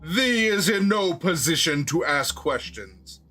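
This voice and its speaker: A man's voice: a little high, big pitch swings, sharp tone, faint music behind, voice pushed up, full character voice. A man putting on a stern, stately centaur. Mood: commanding. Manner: archaic formal speech, slow and measured. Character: commanding male centaur, stern and formal.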